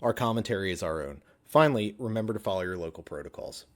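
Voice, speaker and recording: A man reading a podcast disclaimer in a brisk, matter-of-fact way. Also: lowish voice, expressive.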